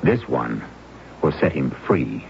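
An actor playing a solemn storyteller host, narrating old-time radio suspense in an ominous, measured, theatrical way. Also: male voice, a bass voice, very animated, gravelly, exaggerated voice. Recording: muffled old radio recording, loud music behind.

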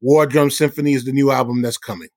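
A man's voice: mid-range voice, steady tone, fast talker, no echo, studio quality. A man from the South Bronx, a hip hop artist, talking casually as an interview guest. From South Bronx, New York.

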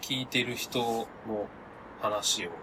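A man's voice: steady tone, takes it slowly. A man co-hosting a podcast in casual, conversational group chat. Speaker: podcast co-host. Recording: noisy recording with rumbling ambience.

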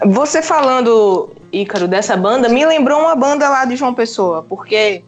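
A woman with a Northeastern Brazilian accent hosts a casual podcast conversation. Her voice sits a little high and she sounds chatty and enthusiastic, with faint music behind her. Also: lively intonation, normal speed. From Pernambuco, Northeast Brazil. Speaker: podcast host.

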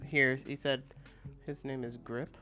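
A man's voice: medium pitch, flat delivery, a little slow, sharp tone, soft background music. A man co-hosting a gaming news podcast, giving casual commentary in an informal, conversational way.

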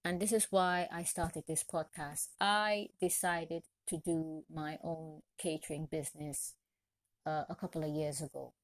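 A woman from Trinidad and Tobago hosts a solo podcast, sharing personal experience and encouragement with deliberate, repeated phrasing. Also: deep voice, steady tone, no echo. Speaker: podcast host, chef and caterer. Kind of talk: solo podcast talk, motivational personal story.

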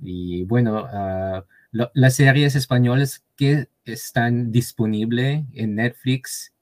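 A man from Taiwan with a Taiwanese accent, a guest speaking Spanish as a learner, halting with many fillers and restarts. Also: medium pitch, no echo. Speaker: interview guest. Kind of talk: casual conversation.

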